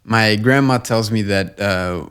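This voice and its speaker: A young man from Long Island with a low, smooth and resonant voice. He talks earnestly and at an even pace, with plenty of "uh" and "you know" and the odd restart, the way a guest speaks on a podcast interview. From Long Island, New York. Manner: measured and earnest, with frequent fillers and restarts. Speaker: guest, nonprofit co-founder. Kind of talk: conversational interview.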